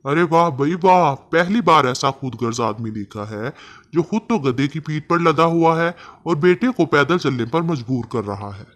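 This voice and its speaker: A man's voice: on the high side, animated, unhurried. A man voicing scornful grown village men in a children's storybook, mocking and scolding. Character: scornful adult male villagers. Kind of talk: storybook voice acting.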